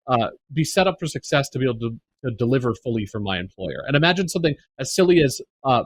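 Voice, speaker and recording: A man's voice: mid-range voice, slightly rough, no echo. A man with an American accent, a podcast guest speaking earnestly about the workplace, with plenty of fillers and restarts. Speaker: podcast guest, neurodiversity advocate. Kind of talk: casual interview conversation.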